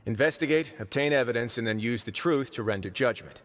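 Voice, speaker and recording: A man playing a composed, calculating authority figure in an anime game, speaking in a measured, formal, explanatory way. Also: mid-range voice, a bit bright, natural voice. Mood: calm, scheming.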